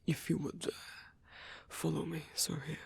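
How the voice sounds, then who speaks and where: A man voicing a lonely old male vampire in an ASMR roleplay, gentle, rambling and bookish, with a soft, breathy, creaky voice and a halting, musing delivery. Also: a little high, completely flat delivery, no echo, exaggerated voice. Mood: shy, inviting. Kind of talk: ASMR roleplay audio addressed to the listener.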